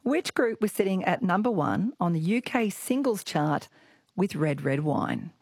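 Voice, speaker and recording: A croaky, husky Australian woman in her forties hosting an evening radio quiz with callers, bubbly and teasing. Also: fairly low pitch, sing-song, measured pace, darkish tone, dry recording, clean studio sound. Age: 40s. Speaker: radio host. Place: radio studio.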